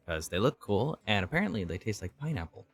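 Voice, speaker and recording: A man hosting a let's play, his pitch leaping all over the place as he talks. He sounds casual and chatty, with a dry, close sound and faint music behind him. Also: fast, no room sound. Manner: swinging, animated delivery. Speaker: gaming channel host.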